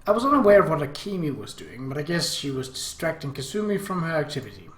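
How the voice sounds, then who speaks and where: An animated man reads a visual novel aloud in a slightly high voice. His narration is expressive and theatrical, with lively pitch movement, recorded in an echoey room. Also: fast. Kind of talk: expressive story reading in first person. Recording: roomy, echoey home recording.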